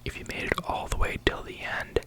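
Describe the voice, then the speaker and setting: A tired young adult YouTuber telling a story in a soft unvoiced whisper, rambling with many likes and ums. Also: a little fast.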